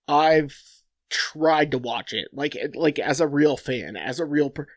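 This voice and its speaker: A man's voice: fairly high pitch, no room sound. Nasal, profane man ranting. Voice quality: nasal, stuffed-up. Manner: blunt, profane, rambling with restarts. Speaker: podcast host. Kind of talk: profane solo rant.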